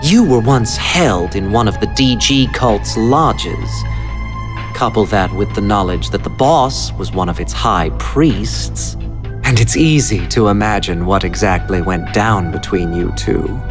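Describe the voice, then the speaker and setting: An actor playing a gleeful, devious villain in a video game, speaking in flowery mock-politeness with theatrical, drawn-out words. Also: male voice, fairly low pitch, lightly acted. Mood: taunting. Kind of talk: anime-style video game villain acting. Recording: dramatic game music behind.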